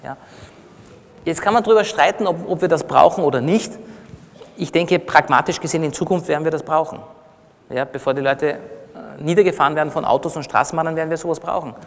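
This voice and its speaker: A man's voice: a little high, fast talker. A middle-aged man with an Austrian accent gives an explanatory, conversational lecture, teaching plainly and checking in with short tag questions. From Austria, born in Iran. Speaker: physician giving a lecture. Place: on stage in a large congress hall. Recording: reverberant large hall.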